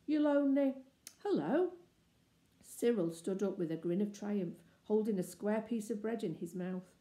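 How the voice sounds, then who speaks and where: A woman reading a children's story aloud as expressive narration. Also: medium pitch, normal speed. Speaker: storybook reader. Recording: roomy, echoey indoor sound.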